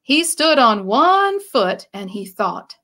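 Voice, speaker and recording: A woman with a high voice tells a children's story at an unhurried, slow pace. She reads expressively, as a library storyteller would, and invites young listeners to follow along. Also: big pitch swings, sharp tone. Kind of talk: read-aloud picture book narration for children.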